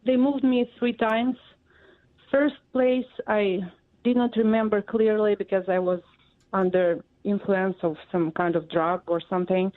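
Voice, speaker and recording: A woman in her forties from Russia, speaking English with a Russian accent as an interview guest, halting and full of fillers. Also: medium pitch, steady tone. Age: 40s. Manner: halting, many fillers and pauses. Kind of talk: interview answers. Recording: tinny phone line.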